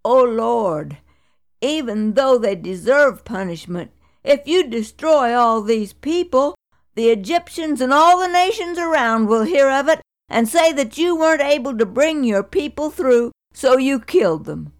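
A woman's voice: sits high, expressive, a little slow, pitched above the performer's own voice. A woman doing an earnest pleading leader.

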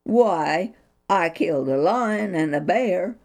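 A brave young shepherd boy who speaks up earnestly and insists, as a woman storyteller voices him. His lines come at an unhurried pace with a lively, rising and falling pitch. It sounds like a storybook reading. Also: creaky, exaggerated voice.

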